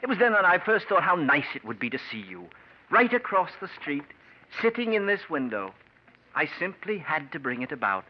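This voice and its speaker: Coolly menacing schemer, slightly high voice, insinuating, falsely courteous, vintage radio acting, muffled old recording. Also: male voice, very animated. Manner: measured, insinuating, falsely polite delivery. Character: scheming, bitter male actor, coolly menacing beneath politeness. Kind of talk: 1940s-50s radio drama acting.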